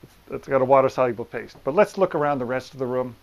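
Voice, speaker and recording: Man, mid-pitched voice, practical tradesman presenter, hands-on explanation, plain wording, deliberate pauses, indoor room. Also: highs cut off, very noisy. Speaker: plaster repair business owner and presenter. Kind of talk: hands-on walkthrough explanation to camera. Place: room inside an old house.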